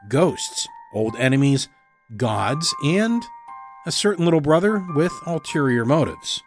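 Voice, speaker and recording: A man hosting a fiction podcast, reading a scripted introduction. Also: medium pitch. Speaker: podcast host.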